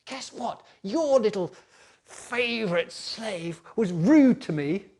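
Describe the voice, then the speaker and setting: A coy, spiteful wife, as a male storyteller puts her on in a storybook telling. The voice goes high and sly, wheedling and then tattling. Every line carries petty spite. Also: huge pitch swings, fairly quick, a little mellow, breathy edge, full character voice. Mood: scheming. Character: woman, master's spiteful wife.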